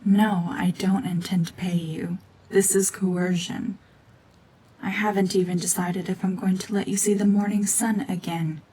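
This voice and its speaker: A woman voicing a cold, mean renegade gorgon witch in a hushed, half-whispered ASMR drama, domineering and sardonic. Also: mid-range voice, flat delivery, measured pace, a little hiss or hum, lightly acted, rough recording. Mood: threatening.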